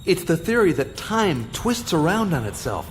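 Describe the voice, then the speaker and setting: Earnest brainy explorer in a radio play, lively pitch, music under him. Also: male voice, moderate pace. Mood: earnest, musing.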